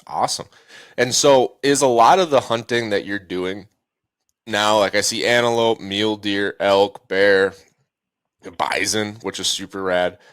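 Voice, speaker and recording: A man hosting a podcast interview, asking chatty, relaxed questions in casual slang. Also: on the low side, measured pace, clear, resonant tone, no room sound, studio quality. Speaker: podcast host.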